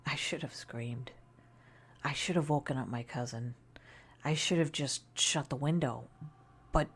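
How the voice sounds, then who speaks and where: A woman narrating a scary story in a soft, breathy ASMR style, often near a whisper, hushed and deliberate. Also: rumbling low, a little hiss or hum.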